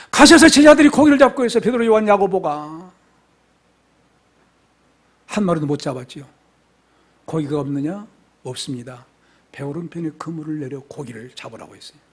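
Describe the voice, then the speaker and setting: A male pastor delivers an expository sermon to his congregation with a fairly high voice. He preaches with fervor, dropping to soft, near-whispered phrases before rising to loud, ringing exclamations. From South Korea. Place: church sanctuary.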